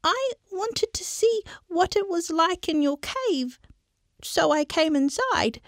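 A tiny male mouse squeaks out his pleas in a very high, quivering voice, as a woman storyteller puts him on. He is frightened yet eager to help, bouncing up and down in pitch. The storybook voice is close and dry. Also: lively intonation, slow, no echo, full character voice. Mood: pleading. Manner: pleading, squeaky.

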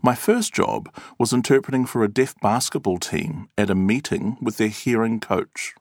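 A man reading an interviewee's answers aloud in a clear, measured and expressive way. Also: lowish voice, no room sound, clean studio sound. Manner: clear, measured and expressive, acting out example words. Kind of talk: scripted read-aloud.

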